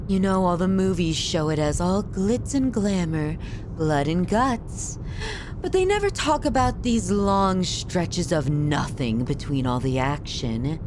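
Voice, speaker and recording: A woman's voice: lowish voice, background noise. A woman acting a possessive, vulnerable and menacing young yandere who dotes intimately on a silent lover in an ASMR roleplay audio drama. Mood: bored, wry.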